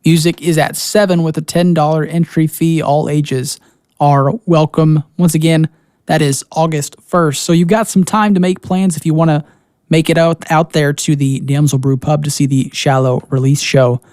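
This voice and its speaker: A man's voice: fairly high pitch, fairly even intonation, normal speed, a little mellow, clear, resonant tone, dry recording, studio quality. A man hosting a radio show, announcing in a scripted style. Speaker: radio host.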